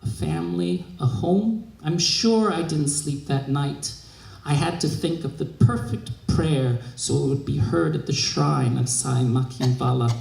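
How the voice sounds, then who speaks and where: Creaky-voiced man reading a story. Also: mid-range voice, animated, measured pace, a little mellow. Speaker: literary reader. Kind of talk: expressive scripted narration of a short story. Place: live reading in a museum room. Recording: echoey room.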